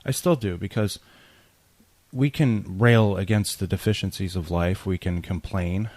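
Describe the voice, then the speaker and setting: A man's voice: sits low, a little slow, darkish tone, no echo. A man hosting a podcast, speaking candidly and reflectively in a personal spoken tribute. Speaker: podcast host.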